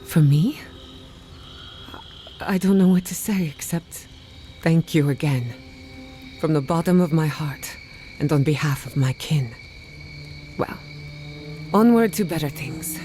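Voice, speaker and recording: Earnest low-voiced woman scholar. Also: animated, slow, pauses often, dark tone, soft background music. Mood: grateful. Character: earnest, grieving woman scholar, burdened but resolute. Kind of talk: dramatic video game dialogue.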